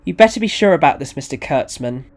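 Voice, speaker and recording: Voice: medium pitch, animated, quick pace, close to an everyday voice. A storyteller voicing an irate, stern, indignant event organiser in acted story dialogue. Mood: angry.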